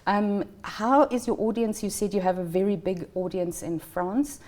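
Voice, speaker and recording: A woman's voice: medium pitch, steady tone, normal speed, darkish tone. A woman hosting a book interview show, asking questions and giving short encouraging backchannels. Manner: friendly, with brief encouraging backchannels. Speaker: host. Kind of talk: interview conversation.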